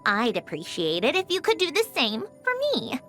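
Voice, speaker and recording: A woman's voice: high-pitched, wildly swooping pitch, moderate pace, fairly bright tone, faint music behind, full character voice. A woman voicing a prim, wry clockwork marionette in a video game, composed and formal, dryly teasing, aloof but fond. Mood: earnest, guarded. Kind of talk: scripted video game character voice lines.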